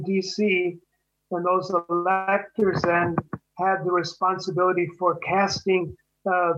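Man, guest, high voice, slow and measured, dull lo-fi sound. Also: a bit bright. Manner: slow, deliberate, careful. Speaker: guest explaining elections. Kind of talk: measured explanation.

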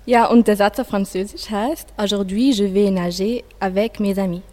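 Teen girl, dark mellow voice, kinda hesitant, student doing a radio chat. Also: mid-range voice, fairly even intonation, moderate pace. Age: teenager. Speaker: school student in a radio project. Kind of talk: conversational presenting with classmates.

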